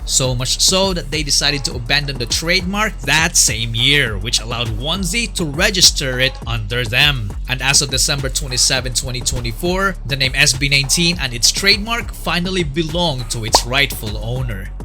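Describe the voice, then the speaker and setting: A man's voice: medium pitch, animated, normal speed, hardly any pauses, sharp tone, soft background music. A man narrating a fan-made documentary from a script, in a chatty way that asks the viewer questions.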